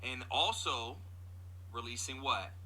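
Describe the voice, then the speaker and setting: A man's voice: medium pitch, slow, pushed and bright, rough recording. A man presenting a casual video announcement as a comic creator speaking to his followers.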